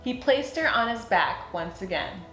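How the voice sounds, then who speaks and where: A woman narrating a children's storybook as a read-aloud host, with expressive, clearly phrased delivery. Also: fairly high pitch, measured pace. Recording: roomy sound, music underneath.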